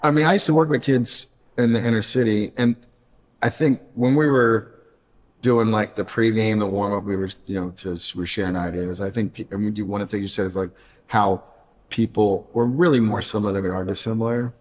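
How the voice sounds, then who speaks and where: A man's voice: lowish voice, fairly even intonation, brisk, darkish tone, long reverb tail. A man hosting a podcast interview, chatting casually with many fillers and jokey asides. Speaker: podcast host.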